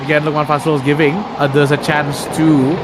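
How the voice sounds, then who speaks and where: A man's voice: fairly high pitch, flat delivery, fairly quick, noisy background. A man from Indonesia doing live sim racing commentary from his home, rambling and correcting himself with many fillers. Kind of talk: live remote race commentary.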